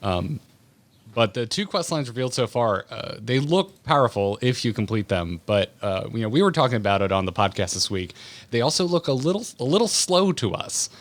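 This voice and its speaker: A man interviewing as a podcast host, conversational, with measured and roundabout questions. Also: medium pitch, very animated, no echo.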